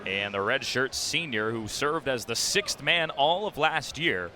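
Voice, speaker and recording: A man's voice: mid-range voice, animated, a little slow, a crowd in the background. A young man calling basketball play-by-play for radio in clipped phrases, with emphatic calls on the big plays. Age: young adult. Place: indoor basketball arena. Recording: noisy live arena broadcast. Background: arena noise, bouncing ball.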